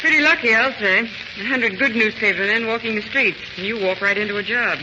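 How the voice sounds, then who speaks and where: An actress playing a brisk, wry newspaper secretary in a vintage radio drama, with a clipped, efficient manner. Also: female voice, on the high side, close to an everyday voice. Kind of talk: 1940s radio drama acting. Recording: very noisy, muffled old radio recording.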